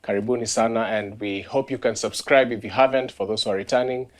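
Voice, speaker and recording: A man's voice: fairly low pitch, fairly even intonation, fast. A man co-hosting a podcast, welcoming listeners in an upbeat way. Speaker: podcast co-host. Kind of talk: casual podcast chat.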